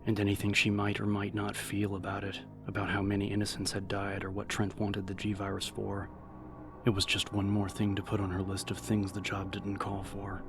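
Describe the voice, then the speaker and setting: Low, even-toned male audiobook narrator over music. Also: moderate pace. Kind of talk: dramatic scripted narration.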